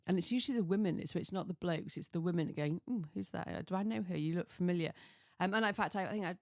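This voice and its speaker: A slightly hoarse woman chatting casually and solo as a podcast host, rambling and self-deprecating, often wandering off on tangents. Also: lowish voice, darkish tone, no room sound, studio quality. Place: small home office.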